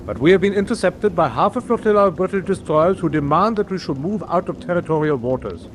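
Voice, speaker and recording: An actor playing a formal, guarded German naval officer in a radio drama, speaking in a clipped, evasive way. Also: male voice, sits high, expressive, fast talker, a little hiss or hum. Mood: grave, formal.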